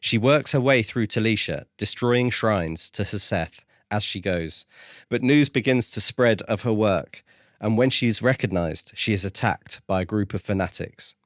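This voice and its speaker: A man's voice: on the low side, normal speed, no echo, studio quality. A man co-hosting a podcast, recapping and casually discussing a book. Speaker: podcast co-host. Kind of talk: casual chat.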